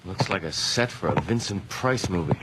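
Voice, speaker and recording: Animated, inquisitive North American investigator. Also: male voice, sits low, normal speed. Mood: wry, indignant. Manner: wry, insistent, questioning. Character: sharp, inquisitive, excitable male investigator. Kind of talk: 1980s radio drama acting. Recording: noisy old radio drama recording.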